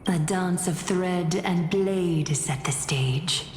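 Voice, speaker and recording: Game casting: aristocratic lady weaver, poised, lowish, echoing. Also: takes it slowly, darkish tone. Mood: poised, graceful. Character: elegant noble lady weaver, poised and poetic. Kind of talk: scripted game voice acting. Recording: echoing hall reverb, faint noise.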